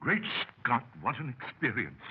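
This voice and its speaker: An actor playing a hearty, curious gentlemen's club host in old-fashioned 1930s radio style. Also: male voice, medium pitch, huge pitch swings, moderate pace. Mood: amazed, curious. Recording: muffled, faintly noisy 1930s radio recording.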